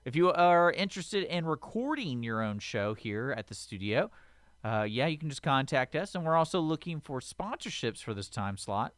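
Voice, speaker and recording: A man's voice: a little high, very animated, moderate pace, a bit bright, no room sound, studio quality. A man giving an informal station announcement in a plain, slightly hesitant, loosely scripted way.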